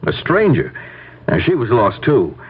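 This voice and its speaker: An actor playing a matter-of-fact, practical man in a radio mystery, plain-spoken and brisk as he reports on a search. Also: mid-range voice, huge pitch swings, narrow, tinny audio. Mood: reporting, concerned. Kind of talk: 1970s radio drama acting. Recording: thin, faintly noisy old radio broadcast.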